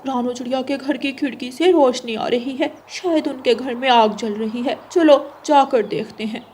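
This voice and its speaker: Cartoon crow wife, acted by a woman: bossy, dramatic, emphatic, raised pitch, faint background noise. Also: moderate pace, darkish tone, natural voice. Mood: excited. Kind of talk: Hindi children's cartoon character voice.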